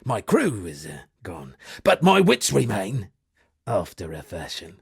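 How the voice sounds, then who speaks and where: Gravelly, theatrical shipwrecked sea captain. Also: male voice, lowish voice, wildly swooping pitch, a little slow, dry recording, clean studio sound, heavily acted. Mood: theatrical, rueful. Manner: florid, dramatic, alliterative declaiming. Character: eccentric male shipwrecked sea captain and pompous amateur poet. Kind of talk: theatrical video game character dialogue.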